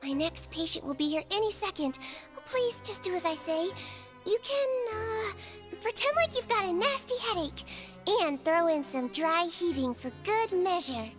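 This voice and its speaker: A woman playing a small, kind, quietly mischievous nurse creature in a video game, with a girlish voice and gentle bedside manner. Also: extremely high pitch, lively intonation, normal speed. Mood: brisk. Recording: game music behind the voice.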